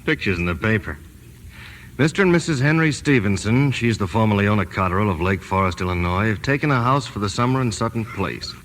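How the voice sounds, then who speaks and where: An actor playing a wry, brisk investigator husband in old-time radio drama, clipped and matter-of-fact. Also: male voice, lowish voice, expressive, fairly bright tone. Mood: wry, teasing. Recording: noisy old radio recording.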